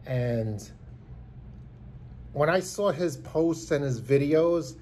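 Earnest man in his fifties, mellow voice. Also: on the high side, expressive, takes it slowly. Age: 50s. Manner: earnest, rambling, many restarts. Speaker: vlogger. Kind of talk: heartfelt tribute talk to camera. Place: small indoor room. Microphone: phone camera held close.

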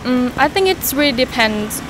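A young woman student answers interview questions, pausing often to think. Her voice is slightly high. Traffic noise carries behind her on the street. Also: expressive. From Vietnam. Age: young adult. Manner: thoughtful, hesitant, many "um" pauses. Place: outdoors on a city street.

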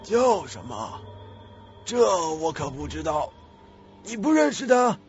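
A man plays an old graveyard keeper in an audio drama, inquisitive and unhurried. His voice sits fairly high for a man. The recording is dull, with music underneath. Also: sing-song, heavily acted. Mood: curious, matter-of-fact. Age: older. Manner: slow, questioning. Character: old male cemetery keeper, slow and inquisitive. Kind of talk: radio drama acting.

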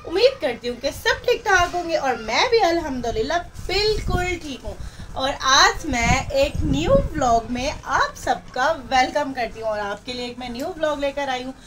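Chatty high-voiced woman vlogging at home. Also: lively intonation, moderate pace, background noise. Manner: chatty, talks straight to camera. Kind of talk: casual family vlog chat. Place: small room at home, indoors. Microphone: phone held at arm's length.

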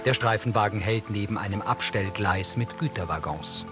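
A man's voice: lowish voice, moderate pace, over loud music. A man narrating screen action as a scripted audio description.

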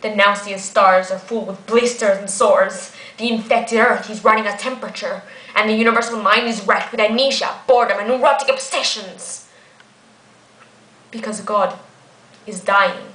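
An ancient guardian angel, acted out by a woman in a theatrical stage monologue. She is grave and weary yet resolute, speaking in a mid-pitched voice with deliberate pauses. The room rings with clear echo. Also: bright, forceful tone. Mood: grieving, urgent. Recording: echoey room.

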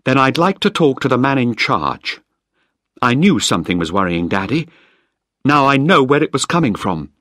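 A man voicing a distressed young woman in an audiobook, high and pleading, frightened yet defiant. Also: huge pitch swings, measured pace, slightly rough, no room sound, clean studio sound, heavily acted. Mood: angry. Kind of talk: audiobook character voice.

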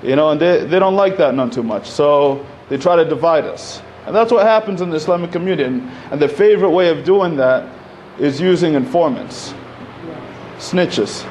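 A man's voice: fairly high pitch, animated, moderate pace, a little mellow, a little hiss or hum. Casual young man speaking at a podium. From Egypt. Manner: conversational, many fillers, gestures while speaking. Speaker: panel speaker. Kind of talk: public talk at a community event. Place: community center hall. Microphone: podium mic.

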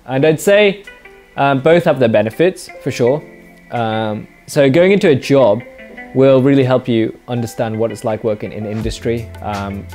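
A man's voice: mid-range voice, a little mellow. Chatty young man answering viewer questions. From England. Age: 20s. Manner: rambling, chatty, many fillers, dry jokes. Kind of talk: casual Q&A monologue. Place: living room in front of a sofa, indoors. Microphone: mic off-camera, seated facing camera.

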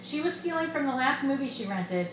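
A middle-aged woman reading her own short fiction aloud at a live event, in a measured, expressive storytelling delivery. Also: sits high, a crowd in the background. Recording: echoey bar room with loud background noise.

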